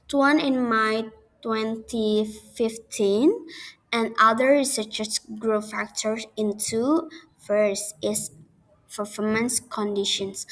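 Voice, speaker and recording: A young woman speaks English with an Indonesian accent, pausing and restarting as she works through a class presentation. Her voice sits a little high, and she talks slowly and carefully. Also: fairly bright tone, rough recording. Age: young adult. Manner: hesitant, halting non-native English with restarts. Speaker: student giving a class presentation.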